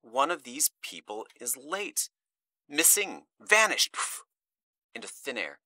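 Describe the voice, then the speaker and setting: An actor playing a menacing, volatile kidnapper in an audio drama, mock-polite and theatrical, shifting between hushed and explosive delivery. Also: male voice, mid-range voice, sing-song, slow, no echo, clean studio sound, exaggerated voice. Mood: hushed, sinister. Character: menacing, volatile male kidnapper calling a radio show.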